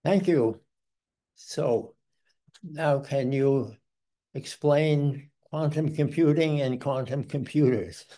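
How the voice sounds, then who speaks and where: A man hosting a talk, introducing the guest and asking questions in a deliberate way with small slips. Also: a little high, warm tone. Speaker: host introducing the speaker. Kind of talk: formal introduction and interview questions.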